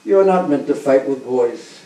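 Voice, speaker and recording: Guy doing a big pushy wrestler, loud and insistent, slow delivery, echoey far-off mic. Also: mid-range voice, little pitch movement, close to an everyday voice. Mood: challenging. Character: boastful burly male wrestler, pushy. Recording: roomy, distant, faint background hum.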